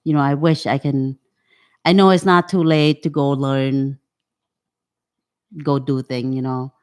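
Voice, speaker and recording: A woman's voice: rumbling low, fairly even intonation, a little mellow. A woman in her fifties from Cambodia, speaking English as an in a casual interview, often restarting her sentences. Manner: halting, restarts sentences, second-language English. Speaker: guest.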